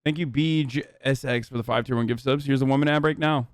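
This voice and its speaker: A man's voice: medium pitch, steady tone, fast, no echo, clean studio sound. A man streaming himself reacting to a video, talking loosely and profanely with laughing asides. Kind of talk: casual livestream commentary.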